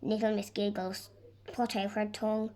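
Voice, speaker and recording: A woman reading a children's story aloud in an expressive, deliberate storytelling manner. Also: mid-range voice. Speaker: storybook reader. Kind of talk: scripted narration of a children's story.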